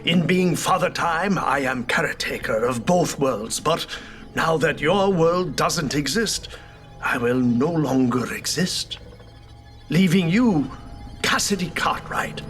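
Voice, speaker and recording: A man playing a slow, solemn Father Time. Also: fairly high pitch, lively intonation, a bit bright, soft background music. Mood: ominous, resigned. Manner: solemn, dramatic, measured delivery. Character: Father Time, grave old male guardian of worlds, fading away. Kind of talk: audio table read of a fantasy script.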